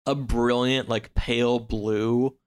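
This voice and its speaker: A man chats casually in a medium-pitched voice, explaining as he goes. His phrasing is informal and loose, full of small hedges. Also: level tone, slow pace, clean tone. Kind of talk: casual podcast chat, explaining.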